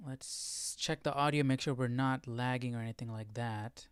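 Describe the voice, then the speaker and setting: A man hosting a live Bible study stream, talking informally and haltingly as he thinks aloud. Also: medium pitch, fairly even intonation, clean tone, no room sound, clean studio sound. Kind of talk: casual livestream chat.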